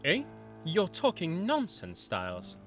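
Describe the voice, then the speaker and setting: A man voicing a sharp, skeptical young male medical student who speaks curtly and dismissively. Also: high voice, huge pitch swings, takes it slowly, faint music behind, voice pushed up, full character voice. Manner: curt, dismissive.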